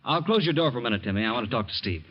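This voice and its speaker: An actor playing an earnest ex-convict and caring uncle in a 1940s radio drama. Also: male voice, mid-range voice, racing, lightly acted. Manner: earnest, expressive, tender then firm. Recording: muffled 1940s radio recording.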